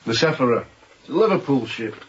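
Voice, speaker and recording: A man's voice: medium pitch, lively intonation, fairly quick, lightly acted. A man acting a polite, dutiful ship's officer in a radio drama, speaking in a formal, dryly amused manner. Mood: respectful, matter-of-fact. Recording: dull radio drama recording, noisy background.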